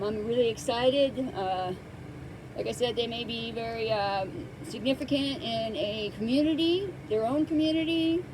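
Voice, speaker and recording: A woman with a fairly high voice talks through a tarot reading, soft-spoken and halting. She sits close to the microphone. Steady background noise and passing traffic are heard behind her. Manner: soft-spoken, hesitant with many fillers. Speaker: tarot card reader. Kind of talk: casual tarot reading to camera.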